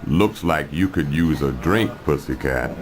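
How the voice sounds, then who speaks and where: An actor playing a smooth, menacing gangster boss in a video game, with slangy, unhurried street-hustler swagger. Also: male voice, a bass voice, expressive, faint music behind. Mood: cool swagger. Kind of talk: video game cutscene acting.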